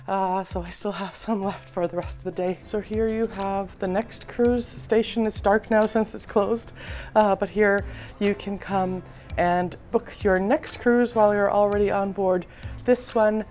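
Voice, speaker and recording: Vlogger, woman, husky sore-throat voice, easygoing tour-guide delivery, faint music under. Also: mid-range voice. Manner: relaxed, explanatory, frequent ums. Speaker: cruise vlogger giving a ship tour. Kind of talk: casual walking tour narration. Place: indoors, moving through a cruise ship.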